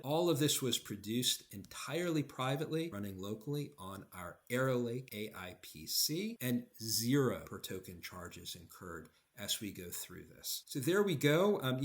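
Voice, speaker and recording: A man giving an explanatory software demo in an emphatic, conversational way. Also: medium pitch. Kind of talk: explanatory product demo, semi-scripted talk.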